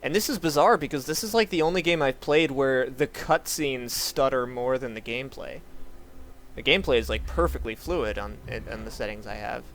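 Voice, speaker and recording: A man giving casual, halting live commentary as he streams a video game, often repeating words and restarting. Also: mid-range voice, a bit bright. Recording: clearly noisy stream audio.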